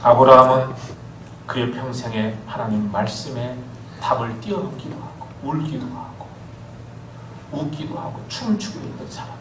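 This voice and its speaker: A man preaching a sermon as pastor, telling stories with lively swings of voice and calling for amens. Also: mid-range voice, moderate pace, background noise. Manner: animated storytelling preacher, calls for amens. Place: church sanctuary. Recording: echoey church hall from far off.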